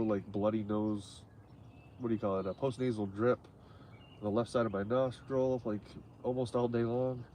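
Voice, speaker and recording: Stuffy-nosed man, lowish voice, rambling outdoor fishing commentary, faint birds behind. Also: a little mellow, a little hiss or hum. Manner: rambling, many fillers and restarts. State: stuffy nose. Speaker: fishing livestream host. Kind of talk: casual live fishing commentary.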